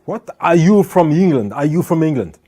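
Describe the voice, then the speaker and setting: A man's voice: fairly high pitch, sing-song, moderate pace, mellow, pitched above the performer's own voice. A man voicing a tense female police officer who keeps pressing the same accusation as he retells an encounter. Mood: accusing. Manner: insistent, repeating accusations.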